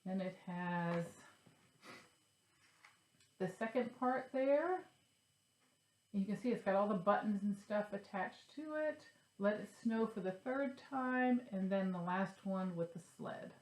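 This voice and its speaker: A woman's voice: medium pitch, unhurried, long pauses, darkish tone. Woman, nasal and congested, chatty and upbeat, echoey room. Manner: chatty, upbeat, shows her stitching. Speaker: cross-stitch vlogger. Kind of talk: casual chat to camera.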